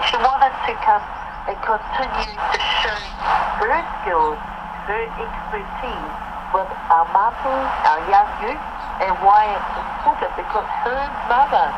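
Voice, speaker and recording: A woman speaking personally as a community interviewee, heard over muffled shortwave radio with heavy noise. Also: mid-range voice. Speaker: community member being interviewed.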